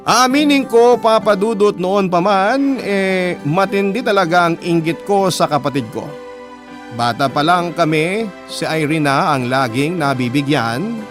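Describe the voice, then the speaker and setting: A man with a Filipino accent delivering dramatic scripted narration as a radio-style storyteller reading a letter. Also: a little high. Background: music.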